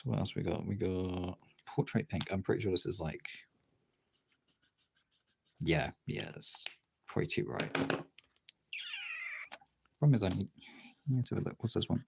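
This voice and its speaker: Low gravelly streamer voice, swings up and down a lot, rambly self-deprecating thinking out loud, bit of noise and clicks. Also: animated, unhurried, long pauses. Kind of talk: casual livestream chat while drawing.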